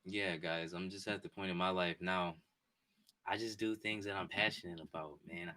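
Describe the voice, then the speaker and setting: A man in his twenties chatting casually with his live-stream viewers from a home setup, excitable and repetitive. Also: deep voice, normal speed. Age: 20s. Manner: excitable, repetitive, talks straight to chat. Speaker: sports streamer. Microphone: desk mic and headphones.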